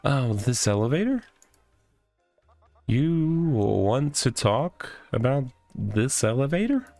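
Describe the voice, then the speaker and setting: A man's voice: mid-range voice, very animated, takes it slowly, a little mellow, no room sound, faint music behind. A man voicing an odd, rambling guy who lives in a box in a comic visual novel, talking absurd nonsense matter-of-factly. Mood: exasperated, worried.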